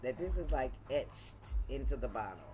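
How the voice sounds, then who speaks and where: A woman with a deep voice chatting casually and playfully in a wine tasting vlog, repeating phrases for emphasis. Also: animated, normal speed, dark tone, a little hiss or hum, poor audio. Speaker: vlogger reviewing wine.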